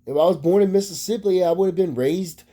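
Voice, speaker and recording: A man's voice: high-pitched, fast talker, warm tone. A man from Maryland, USA, delivering a profane, rambling casual monologue as a vlogger.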